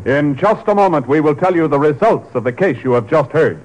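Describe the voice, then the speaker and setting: Show announcer, man: formal, higher voice, over loud music. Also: expressive, a little fast, low-quality recording. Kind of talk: 1950s radio show announcing. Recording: 1950s radio transcription with score.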